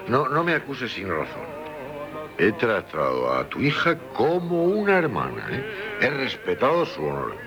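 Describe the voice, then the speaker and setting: An actor with a Castilian Spanish accent playing a dissolute, passionate gentleman who stammers and repeats himself, in 1970s radio theatre style. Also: mid-range voice, huge pitch swings, highs cut off. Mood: anguished pleading. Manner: halting, stammering, repeating words. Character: dissolute, guilt-ridden, passionate gentleman in love. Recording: 1970s radio drama with faint music.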